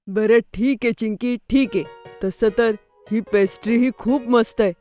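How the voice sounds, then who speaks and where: An enthusiastic, high-voiced little boy played by a woman from a children's cartoon, excitable and always hungry for treats. Music runs behind the voice. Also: moderate pace, lightly acted. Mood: eager, happy. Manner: enthusiastic, wide-eyed. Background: background music.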